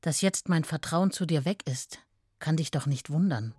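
An actress playing a proud, deeply hurt wife in a radio play, controlled and reproachful in her delivery. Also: moderate pace, darkish tone, husky, no room sound, clean studio sound. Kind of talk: radio play letter reading.

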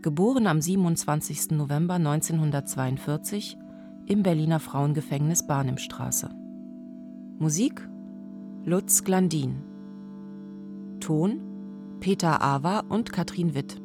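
Female radio announcer: low, slow, sober scripted read over music. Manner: measured, sober. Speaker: announcer reading radio play credits.

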